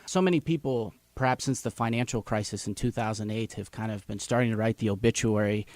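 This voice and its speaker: A man's voice: lowish voice, brisk, darkish tone, dry recording. An American man speaking as an interview guest, earnest and upbeat in a conversational way. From United States, Erie. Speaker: interview guest, English teacher.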